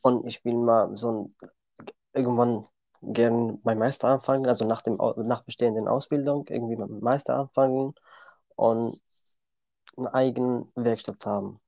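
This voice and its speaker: A man's voice: medium pitch, steady tone, moderate pace, vocal fry, no echo. Young man, dull dry sound. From Syria. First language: Kurdish. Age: 20s. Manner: plain, simple sentences. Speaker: guest. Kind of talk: podcast interview.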